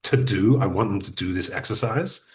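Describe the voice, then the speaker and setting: Man giving an unhurried workshop talk. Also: on the low side. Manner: deliberate, uses pauses and restarts; addresses audience directly with practical advice. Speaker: podcasting workshop speaker. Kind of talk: conversational teaching talk.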